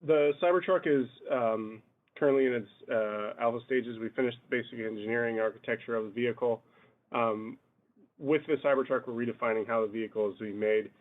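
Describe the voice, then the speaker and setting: A man giving a measured, matter-of-fact business update as an executive on an earnings call, over a thin phone-like line. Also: medium pitch. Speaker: company executive.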